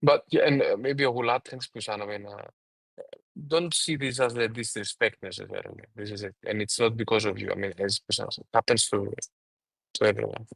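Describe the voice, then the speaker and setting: Man giving halting webinar advice, dry sound. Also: lowish voice, fairly even intonation, creaky, fairly bright tone, no room sound, poor audio. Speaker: webinar speaker and mentor.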